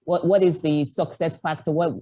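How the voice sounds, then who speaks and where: A woman's voice: low voice, normal speed, no echo. Enthusiastic woman hosting a webinar. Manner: lively, enthusiastic moderator. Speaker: webinar host. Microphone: video call.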